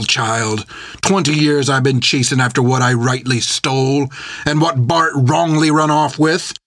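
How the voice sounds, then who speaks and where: A man's voice: medium pitch, no echo, studio quality, exaggerated voice. A man doing a seething menacing pirate captain. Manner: seething, spits words, shouting threats.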